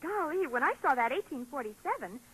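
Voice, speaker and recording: A woman's voice: high voice, very animated, a little fast, a bit bright, poor audio. A woman playing an eager, gushing American housewife in a scripted 1940s radio commercial, heard on a vintage broadcast. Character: enthusiastic American housewife in sponsor advert.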